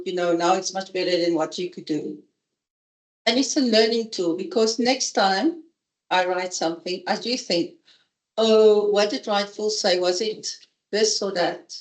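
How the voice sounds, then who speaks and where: A woman professor speaking candidly and conversationally at a seminar, sharing her opinions openly. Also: medium pitch. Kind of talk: seminar talk. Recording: echoey conference room.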